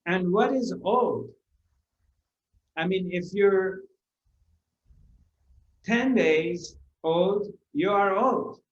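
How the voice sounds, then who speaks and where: A man giving a spiritual discourse to a live audience, unhurried, repeating his phrases and asking rhetorical questions. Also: high-pitched. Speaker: spiritual teacher. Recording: roomy, dull lo-fi recording.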